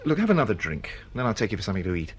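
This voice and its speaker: A man's voice: on the low side, sing-song, very fast. An actor playing an insecure, self-pitying middle-aged male writer in a naturalistic radio drama. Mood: conciliatory.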